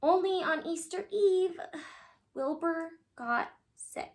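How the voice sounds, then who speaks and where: A woman reading a children's picture book aloud in an animated, expressive way, addressing young listeners. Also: very high-pitched, slow.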